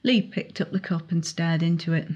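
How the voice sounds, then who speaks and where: A woman's voice: on the low side, moderate pace, darkish tone, muffled recording. A woman reading her young adult novel aloud as dramatic audiobook narration. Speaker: author reading own novel excerpt.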